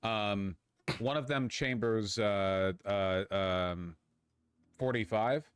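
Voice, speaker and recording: A man's voice: fairly low pitch, takes it slowly, clear, resonant tone, no room sound, clean studio sound. A man streaming casually, thinking aloud as he chats. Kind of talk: casual livestream chat.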